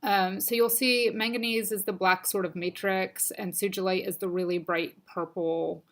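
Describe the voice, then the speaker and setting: A woman giving a casual weekly crystal and oracle card reading, her voice a little stuffy and scratchy from allergies, speaking gently with frequent ums. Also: mid-range voice, fairly even intonation, normal speed. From United States.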